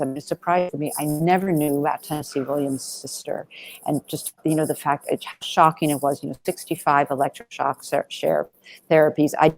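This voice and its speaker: A woman's voice: low voice, vocal fry, rough recording. A woman answering interview questions as a film director, talking in a conversational, emphatic, rambling way peppered with "you know". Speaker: documentary director being interviewed.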